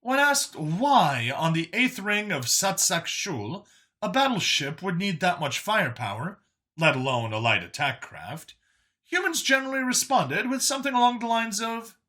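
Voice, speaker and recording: A man narrates scripted science fiction with a bright, forward voice that sits a little high. His pitch swings widely from phrase to phrase, while the delivery stays wry and expository, like an official briefing. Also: very animated, normal speed. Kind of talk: scripted dramatic story narration as an alien official giving a briefing.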